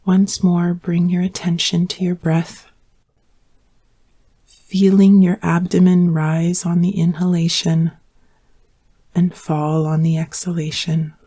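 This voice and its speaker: Female meditation guide, mellow and dark in tone, steady and even in pitch, soothing, unhurried delivery. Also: slightly rough. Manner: calm, soothing, unhurried instruction with long pauses. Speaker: yoga nidra meditation guide.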